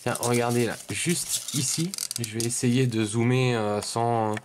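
Guy doing a casual unboxing, medium pitch, hesitant and rambly, bit of noise and clicks. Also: fairly even intonation, measured pace. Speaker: video host, card collector. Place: indoors at a wooden table.

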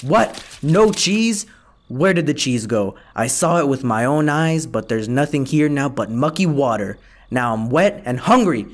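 A man's voice: mid-range voice, animated, measured pace, lightly acted. A man voicing a hungry, vain and gullible wolf villain in a children's puppet show, blustering and theatrical. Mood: baffled, grumbling.

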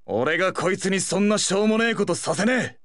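A man playing a brash, hot-headed teenage delinquent brawler with rough, cocky speech in an anime fighting game. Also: sits high, lively intonation, a little fast, fairly bright tone, no echo, clean studio sound. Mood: firm, earnest.

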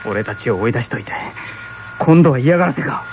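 A man's voice: mid-range voice, lively intonation, a little fast, natural voice. Actor as earnest young father, noisy old radio drama. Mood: bitter, alarmed. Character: young husband and father, determined, anxious space settler. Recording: 1980s radio recording with background noise.

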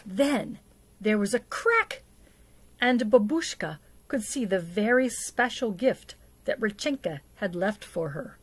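Middle-aged female children's storyteller, unhurried delivery, medium pitch, expressive and deliberate. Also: slightly rough, dry recording. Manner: deliberate, asks the listener questions, dramatic hushes and emphasis. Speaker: storyteller for a church children's program. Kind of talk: expressive picture-book reading and talk to young children. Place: small indoor setup before a plain backdrop.

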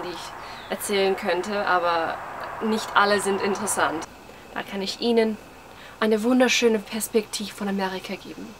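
A young woman around twenty talks in a casual vlog style at a medium pitch. She is hesitant and self-deprecating, often searching for the right word. She is recorded outdoors, with clear ambient and traffic noise behind her. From Germany, living in New York. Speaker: vlogger. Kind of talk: casual, rambling vlog chat to camera. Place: outdoors on a porch among trees. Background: outdoor ambience, passing cars.

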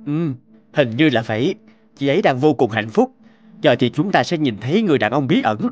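A man playing an earnest, patient young man in dubbed animated dialogue. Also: mid-range voice, a little fast, highs cut off, natural voice. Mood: casual, upbeat. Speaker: voice actor in an animated moral tale. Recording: music behind the voice.

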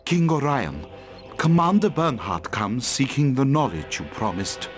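An actor playing a solemn, courteous forest elf envoy in a video game, formal and portentous. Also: male voice, mid-range voice, sing-song, unhurried. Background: music.

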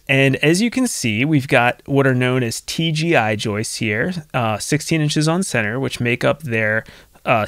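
A man's voice: medium pitch, a bit bright, dry recording. A man in his thirties with a North American accent, explaining conversationally as a tutorial host, close to a desk microphone in a home studio. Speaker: soundproofing instructor and video host. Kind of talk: instructional screen-share tutorial. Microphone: desk microphone close to the mouth.

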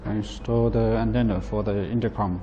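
A man in his thirties, a non-native English speaker, giving a plain, halting, step-by-step product demonstration. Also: fairly low pitch, fairly even intonation, mellow, dull audio, soft background music. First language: not English. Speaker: product presenter. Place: indoor office at a table.

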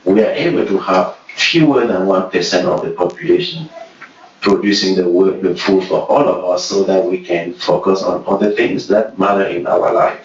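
A man with a Cameroonian accent gives an earnest conference talk in a low, deep voice. He is heard from across a roomy hall, and the sound is dull, with faint noise behind him. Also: recorded from a distance. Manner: earnest, speaks slowly with fillers. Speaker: program leader giving a conference talk. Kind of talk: conference presentation. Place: conference room.

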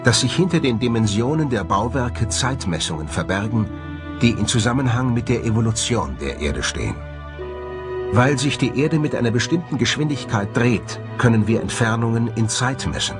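A man's voice: mid-range voice, animated, normal speed. A man presenting a documentary with scripted narration. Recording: music bed under the voice. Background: background music.

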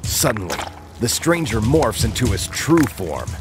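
A man's voice: lowish voice, huge pitch swings, measured pace. A man narrating an audio drama in an intense, portentous storytelling manner. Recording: loud cinematic music under narration. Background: loud dramatic music.

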